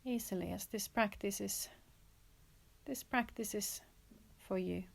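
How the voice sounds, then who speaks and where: Woman, yoga nidra teacher, mid-range voice, slow pace, gentle, soothing, inviting repetition, meditative guidance. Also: medium pitch, creaky. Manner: soothing, gentle, unhurried with pauses; repeats phrases slowly and invitingly. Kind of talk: guided relaxation meditation.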